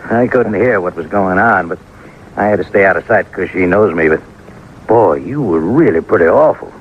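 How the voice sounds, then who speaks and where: A male radio actor playing a police detective with a brisk, casual, matter-of-fact manner. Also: deep voice, animated, phone-quality sound. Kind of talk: old-time radio acting. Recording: thin, noisy old radio recording.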